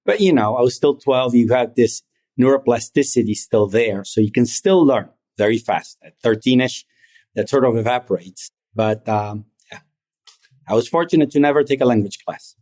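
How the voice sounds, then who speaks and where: A middle-aged man born in Argentina, a private equity founder telling his story in a measured, reflective way as an interview guest. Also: medium pitch, a little mellow. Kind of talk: interview conversation.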